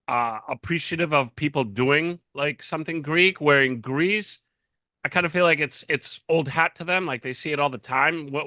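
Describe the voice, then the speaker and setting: A man co-hosting a casual interview, asking friendly questions in halting bursts with "uh" and "like". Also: mid-range voice, bright, forceful tone, no room sound. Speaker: podcast co-host.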